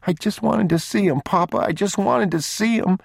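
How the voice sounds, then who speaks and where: Guy doing a little kid for an audiobook, high voice, scared and pleading, childlike. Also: moderate pace, a little mellow, dry recording, studio quality, close to an everyday voice. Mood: sobbing, pleading. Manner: pleading, plaintive, childlike questions. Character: frightened pleading young boy, tearful, stubborn and tender.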